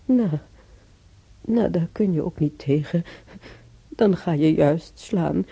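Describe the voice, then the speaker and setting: An actress playing a regretful old mother in a radio drama, halting and brooding, with a husky, creaky voice. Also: fairly low pitch, warm tone, no echo, heavily acted. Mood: regretful, musing. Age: older. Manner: halting, brooding, repeating herself. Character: regretful old mother remembering beating her stubborn son.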